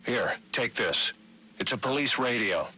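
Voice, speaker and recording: Tired protective cop dad, raspy with a forceful edge, gruff understatement, game drama. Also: male voice, mid-range voice, expressive, measured pace, exaggerated voice. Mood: weary, protective. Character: weary, protective police officer father. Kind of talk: cinematic video game dialogue.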